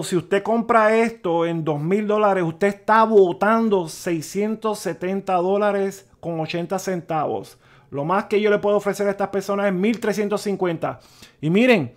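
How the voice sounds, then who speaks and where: Stammering man, high-pitched voice. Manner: stammering, repeats words, switches to English words. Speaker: personal finance channel host. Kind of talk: casual explanatory talk over a spreadsheet. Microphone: voice-over on a screen recording.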